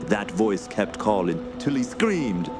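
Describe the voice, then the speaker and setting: Slow, brooding French-Canadian bushman. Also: lowish voice, sing-song, background noise. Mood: ominous, brooding. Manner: ominous, deliberate storytelling. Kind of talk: radio drama acting. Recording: dramatic music behind.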